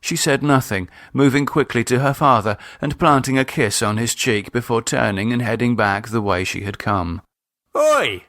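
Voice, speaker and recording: A man's voice: medium pitch, moderate pace, hardly any pauses, fairly bright tone, no room sound, studio quality. A man with a British accent reading scripted audiobook narration as its author and narrator.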